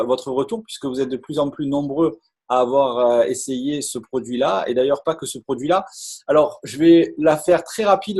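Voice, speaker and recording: A man giving an enthusiastic live online sales pitch, full of hesitations and repeated words. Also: a little mellow, slightly rough.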